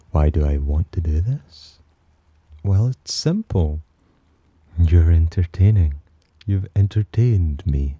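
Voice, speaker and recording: A man playing a sly, possessive demon in an ASMR roleplay, speaking in a smug, teasing, softly commanding manner with a husky voice. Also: no echo, lo-fi sound, exaggerated voice. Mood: amused, possessive. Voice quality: very deep, dark, husky. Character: possessive, amused, sly male demon protector. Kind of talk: ASMR roleplay, slow intimate acting.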